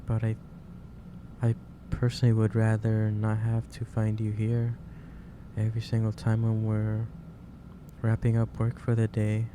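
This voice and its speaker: Man playing a gentle, halting boss, noisy recording. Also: lowish voice, monotone, lightly acted. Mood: gentle concern. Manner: hesitant, halting, careful phrasing. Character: gentle, concerned male office boss, lecturing kindly. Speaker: ASMR roleplay performer. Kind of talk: soft-spoken ASMR roleplay. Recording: clearly noisy ambient background.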